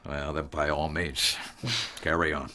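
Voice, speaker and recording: A man playing a reserved older director in an audio drama, speaking formally, with halting, deliberate phrasing. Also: rumbling low, flat delivery, bright, forceful tone, slight background noise, full character voice. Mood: hesitant, softened. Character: reserved older male director of a secret operation.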